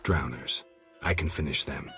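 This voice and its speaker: An actor playing a stoic, gruff monster hunter in a video game, terse and deadpan with a gravelly voice. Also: male voice, a bass voice, normal speed, soft background music, full character voice. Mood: cool, businesslike.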